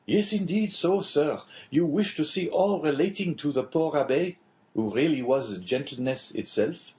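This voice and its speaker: A man's voice: a little high, a little slow, warm tone, pitched above the performer's own voice, lightly acted. An audiobook character, played by a male narrator: a talkative, good-humoured French prison inspector. He speaks in a raised, emphatic voice and is eager to oblige. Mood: obliging, emphatic.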